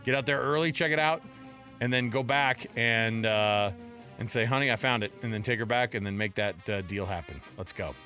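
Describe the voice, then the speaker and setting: A man's voice: medium pitch, moderate pace, bright, forceful tone, faint music behind. An American man co-hosting a sports radio show, chatting casually and jokingly in colloquial style from a studio.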